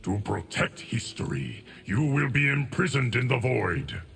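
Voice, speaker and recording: An actor playing an ancient, stoic immortal guardian of time in a fighting game, speaking in formal, measured, portentous lines. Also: male voice, medium pitch, big pitch swings, vocal fry, fairly bright tone, heavily acted. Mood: stern. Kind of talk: fighting game character dialogue. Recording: long reverb, music behind.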